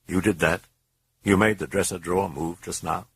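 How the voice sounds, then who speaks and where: A man voicing a calm, questioning Jesuit priest in a low, husky voice. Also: moderate pace, heavily acted. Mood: wary. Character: wary, probing Jesuit priest.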